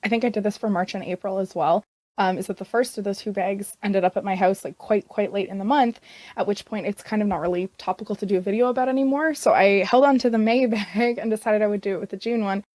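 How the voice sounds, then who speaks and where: A young woman with a scratchy, strained, hoarse voice chats casually to her camera. Her talk rambles easily with many fillers, at an ordinary pitch for a woman. The sound is dry and close, with no room around it. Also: fast, a little mellow, no room sound. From Canada. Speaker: beauty vlogger.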